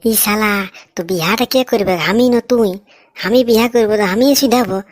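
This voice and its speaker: A woman's voice: mid-range voice, fairly quick, lightly acted. A woman voicing a brash, grumbling young cartoon bridegroom, blunt and mocking. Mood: brash, impatient. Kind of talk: cartoon comedy dialogue.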